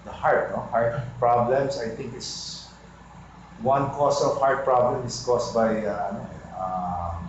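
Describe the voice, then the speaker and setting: A Filipino-accented businessman in his fifties, speaking slowly and searching for words in a group discussion. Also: mid-range voice, fairly even intonation. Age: 50s. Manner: slow, halting, searching for words. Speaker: focus group participant, businessman. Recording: echoey room, lo-fi, background noise.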